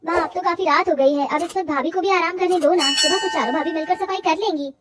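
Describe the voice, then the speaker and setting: A woman's voice: very high-pitched, fast talker, heavy background noise. A woman voicing a caring, outspoken young daughter in a cartoon family story, earnest and assertive. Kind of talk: cartoon moral-story acting.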